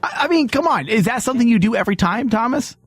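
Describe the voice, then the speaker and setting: A high-pitched male host with a husky edge and very lively intonation. He rambles through crude, casual banter with joking disbelief, recorded close and dry. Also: fast talker, no room sound, faint music behind. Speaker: host of a comedy news show.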